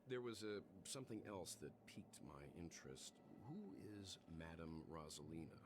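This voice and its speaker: Very deep, composed gentleman. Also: expressive, moderate pace, background noise. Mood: curious, probing. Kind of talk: video game character acting.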